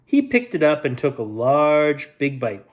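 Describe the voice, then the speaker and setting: Male storyteller, fairly high voice, expressive, playful, children's bedtime reading. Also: measured pace. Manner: expressive, with playful repeated sound effects.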